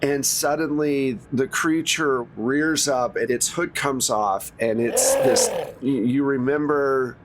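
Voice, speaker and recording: A man acts as game master for a tabletop game. His voice sits at a middle pitch as he narrates conversationally and talks players through the rules. There is clear noise behind him. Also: expressive, slow, few pauses. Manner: conversational, narrates in second person. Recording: noisy recording with clear background noise.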